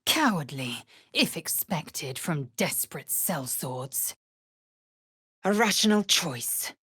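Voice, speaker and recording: An actress playing a fierce, proud young female alien warrior in a video game, with a husky, raspy voice and a clipped, haughty, formal manner. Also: low-pitched, animated, slow pace, pushed and bright, no echo, clean studio sound, heavily acted. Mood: disdainful. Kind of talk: video game character voice acting.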